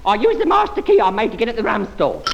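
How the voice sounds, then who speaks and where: An actor playing a chummy, roguish navy rating with a creaky voice in an old radio comedy. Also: male voice, high-pitched, wildly swooping pitch, quick pace, heavily acted. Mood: coaxing. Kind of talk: 1950s British radio comedy acting. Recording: dull, faintly noisy old radio recording.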